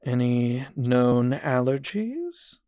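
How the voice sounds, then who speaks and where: A man's voice: mid-range voice, very animated, very slow, dark tone, slight background noise. A man playing a condescending, falsely cheerful psychiatrist in a horror audio drama, with a smug, mock-polite manner. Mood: smug, prying. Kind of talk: theatrical audio drama acting.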